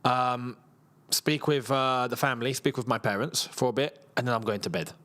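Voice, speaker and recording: A man's voice: medium pitch, moderate pace, a bit bright. Tired, chatty man in his thirties. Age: 30s. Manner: chatty and rambling, laughs often, reads viewer messages aloud. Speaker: football channel host. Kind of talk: casual live watchalong chat.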